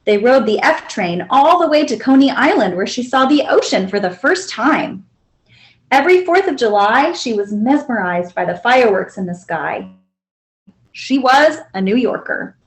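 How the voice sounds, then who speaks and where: A woman narrating a children's picture book aloud in an expressive, deliberate storytelling manner. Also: fairly high pitch. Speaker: librarian reading aloud.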